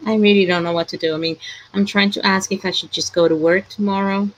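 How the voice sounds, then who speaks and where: A woman's voice: mid-range voice, steady tone, fast talker, low-quality recording. A woman from Ecuador, hosting a casual live chat in a worried, hesitant way, sighing often.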